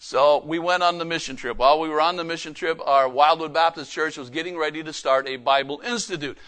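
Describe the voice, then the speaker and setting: A man's voice: on the high side, brisk, a bit bright. A man, probably middle-aged, preaching in a folksy, emphatic way with personal stories and rhetorical questions. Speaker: preacher and missionary. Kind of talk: sermon with personal anecdotes.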